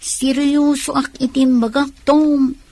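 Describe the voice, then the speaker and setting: A woman's voice: sits high, little pitch movement, slow, a little mellow, no echo. An actress playing a sincere, affectionate young woman, a student sweetheart in a radio drama. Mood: sincere, tender. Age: young adult.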